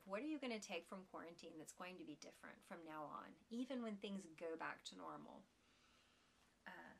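A woman in her forties talks to her listeners in a loose, rambling way, restarting sentences and laughing now and then. Her voice sits slightly low, gravelly and bright, and sounds a little strained from allergies. She is recorded at home in a small room, seated in an armchair. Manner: chatty and rambling, warm laughs, frequent restarts. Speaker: knitting podcast host. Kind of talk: casual chat to listeners.

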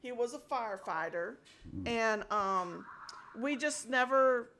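A woman's voice: a little high, animated, slow, pushed and bright, slight background noise. Chatty older woman on a headset. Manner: chatty, friendly, laughs often. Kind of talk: casual phone chat. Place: small home office.

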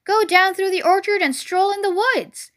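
A woman voicing a fast-talking female goose who repeats her words three times. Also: very high-pitched, a bit bright, pitched above the performer's own voice. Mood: excited.

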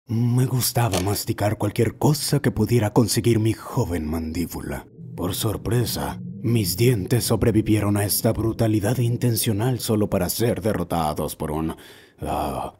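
Man playing a solemn, low-voiced obsessive old narrator. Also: mellow, lightly acted. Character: obsessive old man recounting his lifelong teeth fixation. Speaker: amateur narrator of a horror short. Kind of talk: scripted first-person horror narration.

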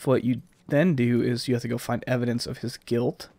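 A man's voice: medium pitch, little pitch movement, fairly quick, dark tone, no room sound. A man with a slightly stuffed-up, scratchy voice giving casual, rambling gameplay commentary as a let's play host.

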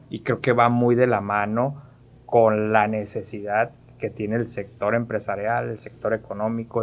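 Lower-pitched man. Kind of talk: conversational podcast discussion. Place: small padded podcast studio. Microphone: close studio mic on stand.

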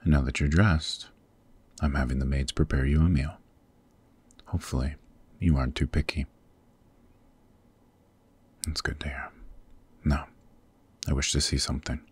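A man voicing a possessive, protective demon king in a husky, very deep voice, formal and intimate, in an ASMR roleplay. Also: fairly even intonation, moderate pace, long pauses, heavily acted. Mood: commanding, caring. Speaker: voice actor.

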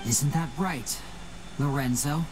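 An actor playing a composed young male stage magician in a video game, explaining in a measured, theatrical, deliberate manner. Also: mid-range voice, background noise, close to an everyday voice. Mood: grave, suspenseful. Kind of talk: dramatic game voice acting, explanatory monologue.

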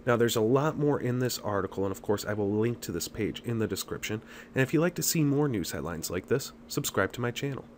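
A man's voice: mid-range voice, animated, a little fast. A man hosting an online channel, talking through an article in an informal explainer style. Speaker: channel host. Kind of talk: informal explainer narration over screen recording. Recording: clear background noise.

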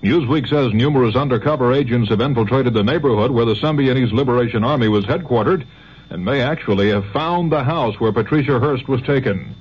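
An American man anchors a network radio newscast, reading from a script at medium pitch. The 1970s recording is dull with some faint noise.

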